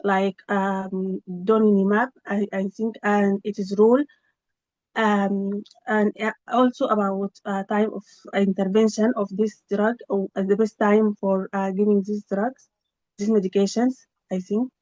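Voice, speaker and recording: A woman researcher reports on a project in a flat, even voice, halting often with fillers and restarts. She sounds dry and a little dull through the video-call audio. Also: medium pitch, dry recording. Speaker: researcher giving a project update. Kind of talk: spontaneous meeting report.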